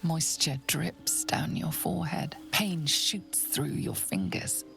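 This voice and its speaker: A woman narrating a video game in the second person, calm and measured. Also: low-pitched, creaky, whispered, faint music behind, heavily acted.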